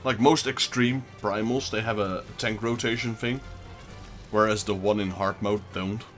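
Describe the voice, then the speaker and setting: Dutch-accented man, lowish voice, chatty gaming commentary, faint game music behind. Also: steady tone, a little slow. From Netherlands. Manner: chatty, talks back to viewer chat. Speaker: let's play host. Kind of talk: casual gameplay commentary.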